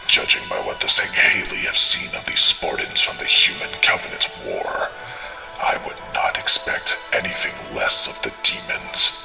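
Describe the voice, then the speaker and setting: A man voicing a stern male alien warrior who delivers a formal, measured audio log report in a gravelly voice. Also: rumbling low, sharp tone, echoey room, exaggerated voice, poor audio. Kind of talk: scripted in-character audio log narration.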